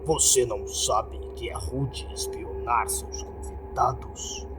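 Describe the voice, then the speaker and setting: Man voicing a slow, growling, menacing cult leader. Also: medium pitch, background noise, close to an everyday voice. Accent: Brazilian.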